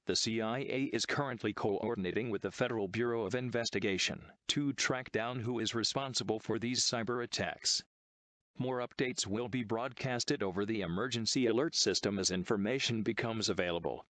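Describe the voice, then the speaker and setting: A low-pitched synthetic man's voice delivers a scripted emergency alert. The sound is dry and close, with no room around it. The delivery is formal, measured and impersonal, like an official announcer. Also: fairly bright tone, no echo. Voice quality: synthetic text-to-speech voice.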